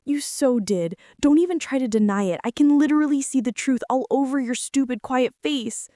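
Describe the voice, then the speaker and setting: Woman acting a bossy flustered college girl, mellow and close. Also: high voice, normal speed, no echo, natural voice. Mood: teasing, indignant. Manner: dramatic, teasing, breathy whispers mixed with indignant exclamations. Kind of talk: girlfriend ASMR roleplay, intimate dramatic monologue.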